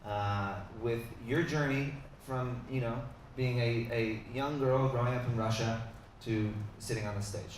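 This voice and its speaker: A man hosting a live interview at a conference, loose and joking, often trailing off into low mumbles. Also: measured pace, hardly any pauses, distant mic. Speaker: podcast host and company head. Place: large hall at a live conference. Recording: very echoey hall, heard from off the mic, noisy.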